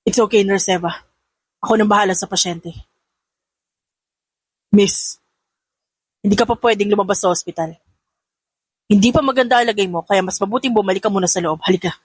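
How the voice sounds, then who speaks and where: Female narrator as caring male doctor: lowered, measured, gently firm, reassuring, close dry sound. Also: husky, no room sound, close to an everyday voice, low-quality recording.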